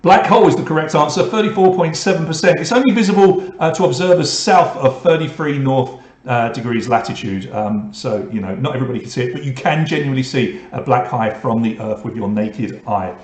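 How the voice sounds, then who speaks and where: A man's voice: mid-range voice, brisk, darkish tone, reverberant. Joking man hosting an online pub quiz. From England. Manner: reads questions and scores, joking asides to players. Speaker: quiz host.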